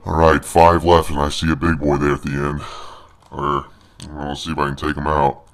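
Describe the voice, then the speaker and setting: A man's voice: rumbling low, monotone, moderate pace. A man voicing a snarky, crude big monster brute while doing casual, jokey video game commentary. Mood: snarky, grumbling.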